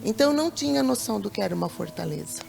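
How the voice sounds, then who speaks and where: A Brazilian woman speaking with a Brazilian Portuguese accent in a church talk-show conversation, thoughtful and halting, often repeating words as she searches for them. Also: mid-range voice, animated, vocal fry, darkish tone, big-room sound, faint music behind. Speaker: deaconess.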